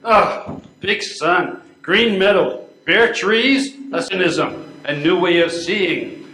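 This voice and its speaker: A man's voice: sits high, takes it slowly, bright, forceful tone, a little hiss or hum, heavily acted. An older man acting a pompous would-be continental painter in amateur readers theater, with theatrical French flourishes. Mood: self-admiring. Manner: theatrical, sprinkled with French phrases. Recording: echoey room recording.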